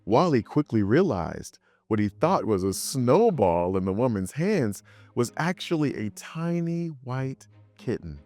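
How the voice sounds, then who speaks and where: A man reading a children's story aloud in an expressive way, acting out the dialogue. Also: mid-range voice, a little slow, no room sound, faint music behind. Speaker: story reader. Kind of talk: scripted children's story narration.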